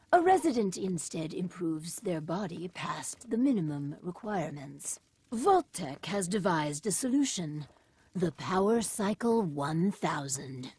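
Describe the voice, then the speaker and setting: Slow, clinical female vault overseer. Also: fairly low pitch, darkish tone, slightly rough, dry recording. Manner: formal, clinical, deliberate. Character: officious, coldly pragmatic female vault overseer, measured and scheming. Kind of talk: video game character dialogue.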